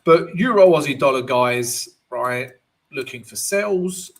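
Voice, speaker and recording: A man with a croaky, worn voice runs a live market analysis stream. He talks to his viewers in a casual, chatty way, keeps checking in with a quick "right?" and calls everyone guys. His pitch sits in the ordinary middle range for a man. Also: expressive.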